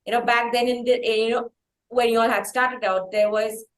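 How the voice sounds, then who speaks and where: Indian lady podcast host, high voice, rambles through long questions, echoey room. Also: little pitch movement, moderate pace. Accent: Indian English. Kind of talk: conversational interview.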